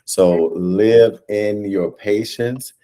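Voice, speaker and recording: A man in his thirties speaking as an interview guest in a measured, emphatic, motivational way. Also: on the low side, warm tone, a little hiss or hum. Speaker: guest, stage play director. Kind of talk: casual interview talk, motivational. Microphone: video call.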